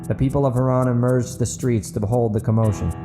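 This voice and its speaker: A man's voice: mid-range voice, fairly even intonation, quick pace. A man narrating and hosting an audiobook fiction podcast. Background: guitar music.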